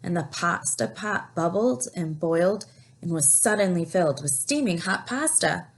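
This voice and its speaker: A woman reads a children's story aloud in a teacherly way. Her voice sits a little low for a woman, and she steps out of the tale to explain and put questions to young listeners. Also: expressive, vocal fry, slight background noise. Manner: explanatory, pauses to ask questions. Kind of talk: picture book read-aloud with asides to young listeners.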